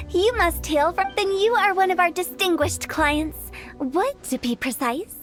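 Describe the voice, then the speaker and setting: A woman's voice: very high-pitched, measured pace. A woman playing an eccentric, mischievous young female funeral director in a video game, with a playful, theatrical, morbidly cheerful sales manner. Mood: playful, scheming. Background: game music.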